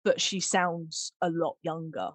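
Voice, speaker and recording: A woman's voice: on the low side, steady tone, unhurried, no room sound. A woman hosting a casual podcast about making audio drama, chatting in an effusive, informal, giggly way.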